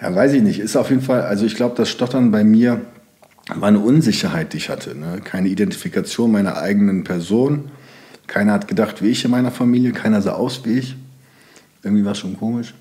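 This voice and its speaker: Rambling, low-voiced man. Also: brisk, mellow. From Germany (Sauerland). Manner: loose, rambling sentences, plenty of fillers. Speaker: podcast guest. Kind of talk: casual interview talk.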